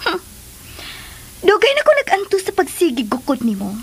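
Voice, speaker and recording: A woman playing a jealous, demanding, vindictive lover in a melodramatic radio drama, with a forceful, imperious manner. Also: extremely high pitch, huge pitch swings, normal speed, exaggerated voice. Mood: bitter, demanding. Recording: music under the voice.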